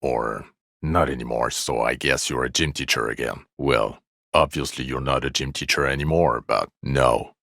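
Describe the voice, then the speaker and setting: A bitter, sardonic male police detective from a video game. The voice sits extremely low, with heavy gravel and fry, and delivers blunt lines with weary deadpan sarcasm. The sound is close and dry. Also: normal speed, no room sound, full character voice. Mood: weary, grudging. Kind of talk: dramatic video game dialogue.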